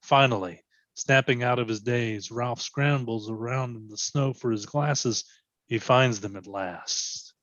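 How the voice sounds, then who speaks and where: A man narrates scene directions in a dramatic, scripted style. His voice sits at an average pitch, and the recording is dry and slightly dull. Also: a little slow, fairly bright tone, no room sound. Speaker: narrator reading stage directions. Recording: dull, lo-fi sound.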